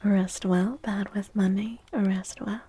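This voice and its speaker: A woman hosting an ASMR livestream in a soft, breathy voice that often slips into whispers. She speaks slowly and with a mellow tone. Her manner is gentle, playful and cutesy, as she chats affectionately to her listeners. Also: mid-range voice, fairly even intonation. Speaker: livestream host.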